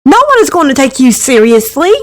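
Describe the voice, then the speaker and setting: A woman voicing a stern, critical middle-aged mother with a sharp, indignant, lecturing manner in a visual novel story. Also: high voice, sing-song, fairly quick, voice pushed up. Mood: angry scolding.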